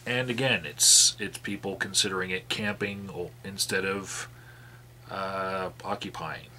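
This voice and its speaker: A man with a lowish, bright and forceful voice reads records aloud in a plain tone. He stops often to sigh and comment in halting, restarting sentences. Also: fairly even intonation, slight background noise. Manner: sighing, halting restarts between read passages. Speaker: activist vlogger reading out call logs.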